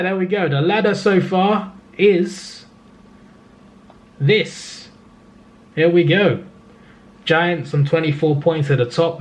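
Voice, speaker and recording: A young Australian man, his voice going hoarse, casually reading through simulated footy results on his gaming channel and laughing at the odd scores. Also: mid-range voice. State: losing his voice. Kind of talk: casual game-results recap.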